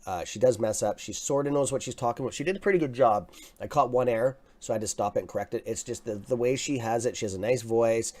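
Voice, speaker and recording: A man with a raspy voice hosting a live stock market stream, talking casually and excitably to his viewers. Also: medium pitch, quick pace. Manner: excitable, swears, talks to chat as "guys". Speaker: livestream stock trading host.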